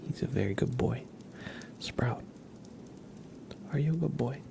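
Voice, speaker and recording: A man hosting an ASMR livestream, chatting gently and casually in a soft, breathy voice with frequent pauses. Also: mid-range voice, animated. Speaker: livestream host.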